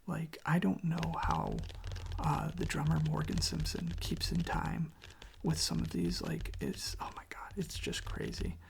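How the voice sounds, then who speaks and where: A soft, whispery voice with very heavy vocal fry, recorded close on an ASMR microphone. The delivery is casual and meandering, with quiet enthusiasm. The pitch sits in an androgynous middle range. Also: wildly swooping pitch, measured pace. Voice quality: whispery, breathy with creaky fry. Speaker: ASMR video creator. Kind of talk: soft-spoken ASMR, rambling music talk.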